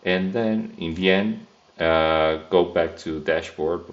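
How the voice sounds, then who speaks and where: A man's voice: deep voice, slow pace, dull audio. A man whose first language is Brazilian Portuguese, speaking English with a Brazilian accent as he walks through a coding tutorial, talking casually and thinking aloud with many fillers and restarts. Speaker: software test engineer. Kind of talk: screen-recorded coding tutorial.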